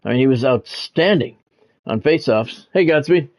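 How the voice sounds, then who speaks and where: A man hosting a game livestream, talking sports to his viewers in measured, announcer-like phrases. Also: medium pitch, lively intonation, a little mellow. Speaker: game streamer and sports commentator, former radio play-by-play announcer. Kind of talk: livestream monologue, sports talk to chat viewers.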